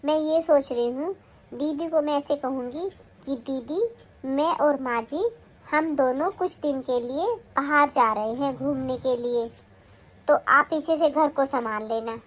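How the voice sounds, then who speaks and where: A woman's voice: high-pitched, measured pace. A woman playing a sly young daughter-in-law. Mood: plotting. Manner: coaxing, plotting, pleading. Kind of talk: family skit acting.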